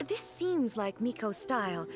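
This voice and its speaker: A woman playing a dignified, literal-minded ruler in a video game, speaking in a formal, measured and earnest way. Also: on the high side, very animated, music in the background. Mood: composed, hopeful. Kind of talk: video game character dialogue.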